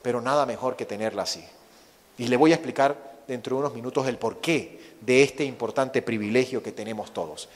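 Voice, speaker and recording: A Venezuelan man with a Venezuelan Spanish accent, a missionary preaching and praying fervently, slowing to stress his words. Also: mid-range voice. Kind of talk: sermon with opening prayer. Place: church sanctuary. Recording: long reverb of a church hall.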